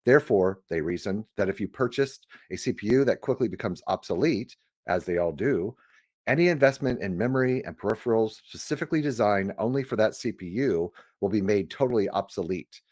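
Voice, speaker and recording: A man narrates an audiobook from a prepared script. His voice sits on the low side, and the recording is dry and close, with very little room sound. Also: animated, a little fast, a little mellow, no room sound. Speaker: audiobook reader.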